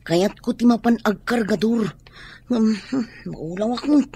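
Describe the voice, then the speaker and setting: An actress playing a weary, unwell, complaining young spouse in a radio drama, with an Ilocano accent. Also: female voice, on the high side, unhurried, mellow, slightly rough, full character voice. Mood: weak, weary.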